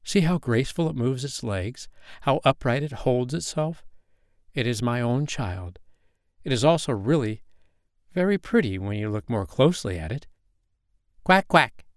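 A man's voice: medium pitch, lively intonation, moderate pace, long pauses, no room sound, studio quality, natural voice. A man voicing a fussy, weary mother duck in a storybook reading, fretful and motherly. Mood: proud.

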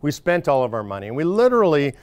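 A man in his forties giving a loud, casual, joking talk to a live audience of supporters. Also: on the high side, very animated, quick pace, clear, resonant tone, no room sound. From Northern California. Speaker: speaker addressing supporters. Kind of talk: casual stump speech.

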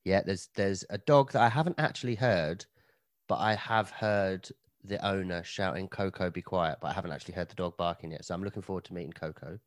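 A man's voice: deep voice, fairly quick, no room sound. A man, co-hosting a podcast in casual chat, dry and joking, a bit hungover. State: slightly hungover. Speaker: podcast co-host.

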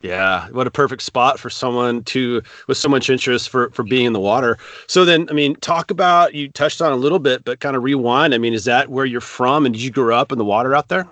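A man's voice: medium pitch, a little fast, few pauses, fairly bright tone, no echo. A man from California hosting a podcast interview in a laid-back way, full of casual slang. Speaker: podcast host.

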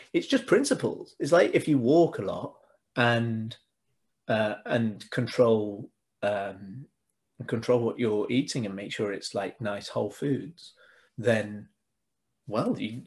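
A man, a podcast guest explaining his ideas earnestly with many fillers and restarts. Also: on the low side. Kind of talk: casual interview chat.

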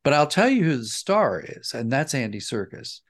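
A man's voice: medium pitch, sing-song, normal speed. A man answering as an interview guest, explaining thoughtfully and rephrasing as he goes. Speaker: interview guest, audio drama writer and director.

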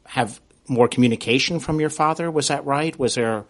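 A man hosting a conversational interview, feeling his way through questions with halting, repeated starts. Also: medium pitch. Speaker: podcast host, interviewer.

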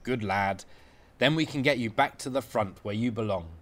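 A man voicing a brisk, condescending senior male hospital consultant with a sardonic, clipped manner. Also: on the low side, fairly bright tone, lightly acted. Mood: hearty.

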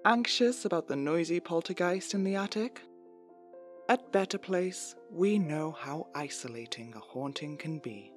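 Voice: mid-range voice, measured pace, lightly acted. An actor playing a slick, over-sympathetic announcer in a spoof commercial for an audio drama. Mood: salesy, soothing. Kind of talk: parody commercial read. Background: music.

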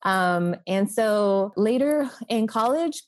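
A woman's voice: mid-range voice, animated, slow. A young woman from Oklahoma City, a campus pastor, talking earnestly and reflectively as a podcast guest, with many "like" and "um" fillers. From Oklahoma City, USA.

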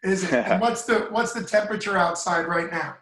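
A man's voice: high-pitched, brisk, fairly bright tone, roomy sound. A talkative man hosting an interview podcast and asking long, friendly questions. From United States. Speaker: podcast host. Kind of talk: casual interview.

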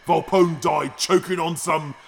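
An actor playing a bitter, wary underworld arms dealer in an audio drama, with a gravelly voice. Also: male voice, on the high side, fairly even intonation, a little slow. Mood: mocking.

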